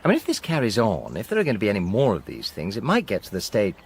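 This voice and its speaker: A man's voice: fairly low pitch, huge pitch swings, quick pace, husky, heavily acted. An actor playing a wry, earnest middle-aged British husband and father in a naturalistic radio drama, speaking conversationally. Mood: earnest, uneasy.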